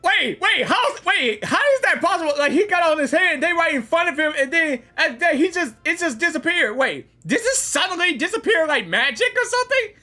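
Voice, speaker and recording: An excitable gamer reacts live in a high, bright voice. The talk is casual and slangy, doubling back on itself, with faint game music behind. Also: fairly quick, few pauses.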